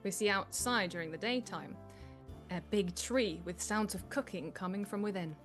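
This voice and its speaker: A woman's voice: medium pitch, normal speed, soft background music. A woman reading stage directions as the narrator of a live script table read.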